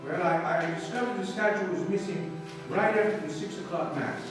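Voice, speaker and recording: Man acting a gentle priest in an echoing hall. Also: sits high, moderate pace, natural voice. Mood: earnest, hesitant. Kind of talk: old-time radio drama acting. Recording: very echoey hall, distant mic, music.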